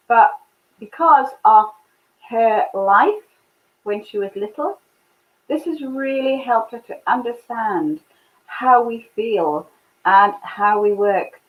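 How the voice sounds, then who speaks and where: A woman hosting an online interview show, chatty and upbeat as she welcomes her guest. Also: fairly high pitch. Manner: upbeat, chatty welcome with short warm murmurs of agreement. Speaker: host. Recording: phone-like lo-fi sound.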